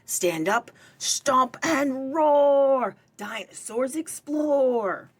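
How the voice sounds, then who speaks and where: A woman's voice: high voice, expressive, very slow, voice pushed up, full character voice. A woman voicing an encouraging children's librarian in a storybook, speaking emphatically as she leads story time.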